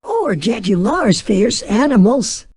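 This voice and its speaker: A woman's voice: fairly high pitch, sing-song, takes it slowly, dark tone, husky, no echo, studio quality, voice pushed up, exaggerated voice. A woman voicing a small, anxious male piglet in a children's storybook, timid and worried. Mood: alarmed.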